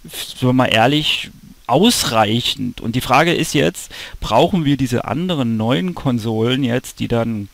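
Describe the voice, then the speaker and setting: A gravelly-voiced man in his thirties hosting a casual podcast over an online call, halting as he searches for words. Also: mid-range voice, expressive, fairly bright tone, dry recording. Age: 30s. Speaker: podcast host. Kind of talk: casual podcast talk, unscripted.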